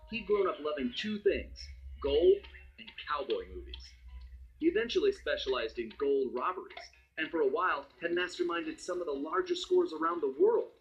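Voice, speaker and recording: An actor playing a cool, wry gang-leader thief, telling his adventures as dramatic narration in an animated game cutscene. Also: on the high side, slightly rough, dull audio, heavily acted. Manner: dramatic, conspiratorial storytelling.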